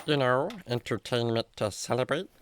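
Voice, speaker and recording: A man playing a naive, chatty, polite animal friend in a children's radio drama, with a halting, wordy way of talking. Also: medium pitch, a little hiss or hum, natural voice. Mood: hesitant, polite. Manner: hesitant, wordy, comically halting.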